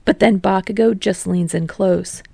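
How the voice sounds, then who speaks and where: A woman's voice: mid-range voice, steady tone, unhurried, vocal fry, dark tone, slightly rough, no echo. A woman reading scripted fiction expressively as an audiobook narrator.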